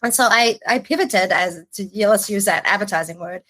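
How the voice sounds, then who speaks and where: A woman in her forties, from Iran, telling her story as a podcast guest, lively and quick to restart her sentences. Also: mid-range voice, low-quality recording. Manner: animated storytelling with restarts and casual swearing. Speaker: podcast guest, agency creative chief. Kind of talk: casual interview chat.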